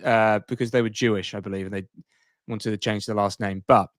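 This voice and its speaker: A man's voice: lowish voice, fairly even intonation, fairly quick, no echo, clean studio sound. A man in casual podcast conversation, rambling along in a playful, jokey way. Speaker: podcast co-host.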